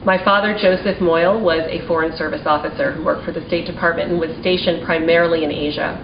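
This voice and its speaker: A forty-year-old American woman giving prepared testimony as a small business owner, in an earnest, deliberate, formal manner. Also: low voice, fairly even intonation. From United States (Maryland), partly raised in Taiwan and China. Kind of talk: prepared advocacy testimony speech. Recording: roomy, distant mic, dull sound, faint noise.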